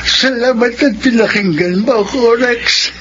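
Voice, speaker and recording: Elderly grandfather, high voice, kindly, concerned, radio drama, muffled recording. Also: a little slow, background noise. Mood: reassuring. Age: older. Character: kindly old grandfather figure, protective drinking companion.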